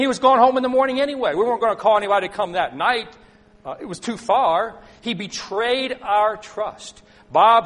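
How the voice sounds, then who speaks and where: A middle-aged man preaching a sermon, telling a personal story and asking the congregation questions, sometimes loud and sometimes soft. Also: sits high. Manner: conversational, asks the congregation questions, swings between loud and soft. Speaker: pastor.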